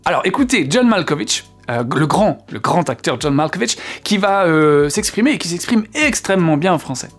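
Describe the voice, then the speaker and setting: A man's voice: fairly high pitch, big pitch swings, moderate pace, hardly any pauses, faint music behind. A man, a French speaker, hosting a casual video lesson. He talks chattily, fills gaps with hesitations and keeps asking the viewer questions. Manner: chatty, asks the viewer questions, many fillers. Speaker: English teacher, channel host.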